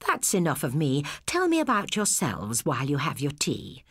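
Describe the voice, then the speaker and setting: Woman reader as a cosy, unhurried old granny. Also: low-pitched, huge pitch swings, fairly bright tone, slightly rough, no echo, clean studio sound, full character voice. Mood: cosy, confiding. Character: kindly, granny-like old shopkeeper aunt, nervous of burglars.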